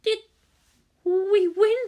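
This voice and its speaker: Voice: very high-pitched, flat delivery, very slow, dark tone, voice pushed up, heavily acted. Narator memerankan gadis remaja lemah bersuara tinggi. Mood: exhausted, pained.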